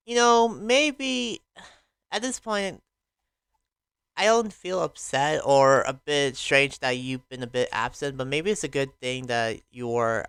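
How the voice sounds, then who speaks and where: A man's voice: mid-range voice, fairly bright tone, smooth, dry recording. A man playing a troubled investigator in an improvised anime fantasy roleplay, casual, hesitant and rambling. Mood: uneasy, caring.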